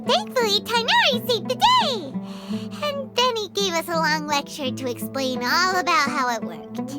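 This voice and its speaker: A woman's voice: very high voice, big pitch swings, moderate pace. A woman voicing a tiny, chatty floating sprite companion who talks about herself in the third person, in a game cutscene. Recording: game cutscene with loud music behind.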